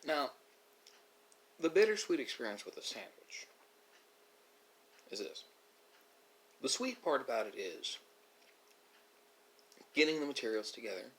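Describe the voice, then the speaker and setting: A man with an average-pitched voice delivers an unscripted, reflective monologue to an online audience. He talks in a philosophical way, breaking phrases with pauses and correcting himself along the way. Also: a bit bright, slight background noise, low-quality recording. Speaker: ASMR video creator. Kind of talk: unscripted musing monologue to viewers during a mukbang.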